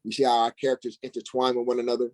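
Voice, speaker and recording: A man with a medium-pitched voice talks casually as a guest actor on a panel. His delivery is conversational. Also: monotone, very fast. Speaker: stage actor, interview guest.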